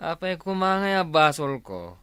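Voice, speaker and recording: A woman's voice: deep voice, sing-song, normal speed, fairly bright tone. A woman voicing a grumbling, hard-drinking village father with a northern Philippine accent in a comedy radio drama.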